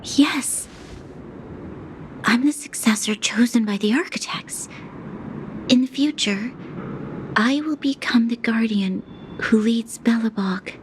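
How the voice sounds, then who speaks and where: A woman's voice: on the high side, takes it slowly, pauses often, background noise. A woman playing an earnest, dutiful young noblewoman in a game cutscene, speaking in a proper, formal and serious manner. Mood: shaken. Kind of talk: English-dubbed game cutscene acting.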